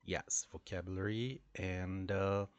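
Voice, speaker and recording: A Brazilian man with a Portuguese accent, an English teacher, talking through a class story with many pauses and restarts. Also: low-pitched, steady tone, no room sound, highs cut off. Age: adult. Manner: hesitant, many fillers and restarts. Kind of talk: reflective solo talk.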